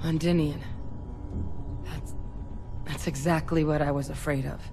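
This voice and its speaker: Low-voiced, earnest woman scientist. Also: fairly even intonation, a little mellow, a little hiss or hum. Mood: grave. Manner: measured, earnest, explanatory. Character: earnest female former military scientist, sci-fi researcher. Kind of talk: video game dialogue acting.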